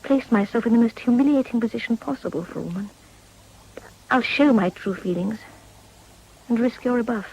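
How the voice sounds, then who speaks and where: A woman's voice: fairly high pitch, brisk, long pauses, darkish tone, slightly rough, dull audio. An actress playing a decorous, determined young well-born Englishwoman in a period radio drama, with refined, formal English diction. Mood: earnest, intimate.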